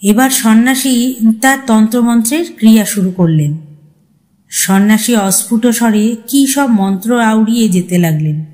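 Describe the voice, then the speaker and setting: A woman's voice: on the high side, lively intonation, moderate pace, a little mellow. A woman storyteller giving a dramatic scripted narration of a horror tale.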